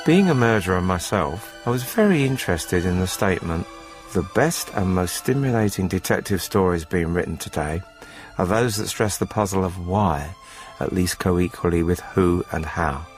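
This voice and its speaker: An actor playing a cold, methodical poultry farmer who confesses to murder in a formal, matter-of-fact, unrepentant radio drama narration. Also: male voice, deep voice, animated, moderate pace, soft background music. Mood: detached, self-assured.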